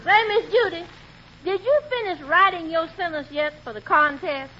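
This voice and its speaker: A woman's voice: very high-pitched, moderate pace, bright, forceful tone. A woman playing a giggly, deferential housemaid with a folksy drawl in an old radio comedy. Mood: giggly, cheerful. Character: giggly folksy female housemaid friend, Geranium. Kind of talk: 1940s radio comedy acting. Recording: muffled noisy old radio, studio audience.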